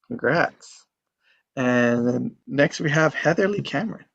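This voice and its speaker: A host with a medium-pitched voice moderates a group in an easy, casual way. Short acknowledgments lead into introductions. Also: expressive, a little slow, vocal fry, lo-fi sound.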